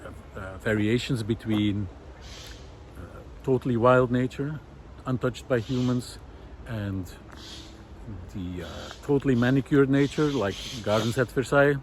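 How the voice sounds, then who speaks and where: A man speaking a reflective, unscripted monologue, thoughtful and halting as he searches for words. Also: medium pitch. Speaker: host of a nature project podcast. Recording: outdoor city noise, wind, distant traffic. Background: faint city noise, wind, vehicles.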